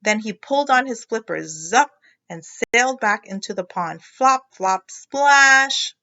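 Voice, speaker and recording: A woman teacher reading a picture book aloud to children, explaining expressively and pausing to check understanding with questions. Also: on the high side, expressive, bright, forceful tone, lo-fi sound.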